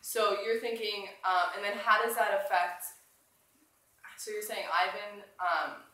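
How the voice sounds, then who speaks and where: Woman teacher leading class discussion, bright voice, super echoey room, bit of noise, encouraging. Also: medium pitch, steady tone, measured pace, distant mic. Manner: encouraging, prompting with questions. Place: indoors, classroom.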